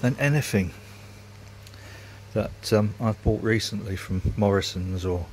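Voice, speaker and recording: A man's voice: on the low side, a little slow, a little hiss or hum. A man, rambling casually and self-mockingly while filming his home brewery workshop on a handheld phone.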